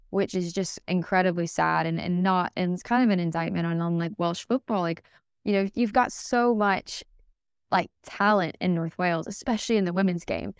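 A woman's voice: lowish voice, smooth, dry recording, studio quality. A young American woman, a podcast guest, talking enthusiastically in a casual interview, with lots of fillers and restarts. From United States.

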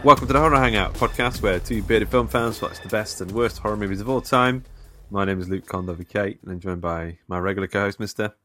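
A man's voice: on the low side, fast talker, pushed and bright, faint music behind. A man hosting a casual podcast, laid-back and hesitant, often chuckling. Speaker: podcast host. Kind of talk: casual chat.

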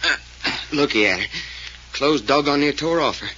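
An actor playing an excitable, folksy doctor sidekick in an old radio drama, with a drawl. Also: male voice, a little high, normal speed. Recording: dull old radio recording with faint hiss.

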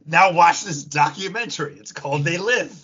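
A man's voice: a little high, normal speed, pushed and bright, breathy edge, lo-fi sound. A man hosting a casual podcast, bantering in a wry, teasing way as he moves the talk along. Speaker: podcast host.

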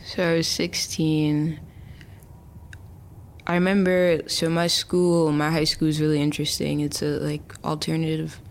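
A woman's voice: low-pitched, flat delivery, unhurried, slight background noise. A woman in her early twenties, a chatty interview guest who talks casually with many fillers and restarts. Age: early 20s. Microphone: remote call.

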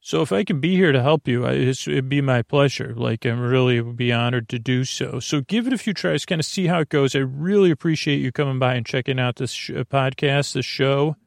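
Gravelly guy rambling on a bedtime podcast, keeps losing his thread, close dry mic, bit of music behind. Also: mid-range voice, no room sound. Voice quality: gravelly, creaky. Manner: meandering, halting, trailing off mid-thought. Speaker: podcast host.

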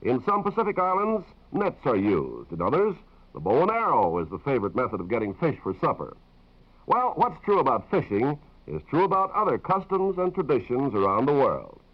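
A man's voice: mid-range voice, huge pitch swings, normal speed. A man announcing on 1950s radio, reading scripted broadcast narration. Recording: dull vintage radio broadcast recording.

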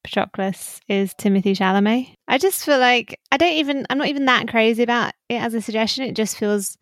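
A woman's voice: on the high side, brisk, dry recording, studio quality. A woman chatting casually as a co-host, opinionated and teasing. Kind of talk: casual panel chat.

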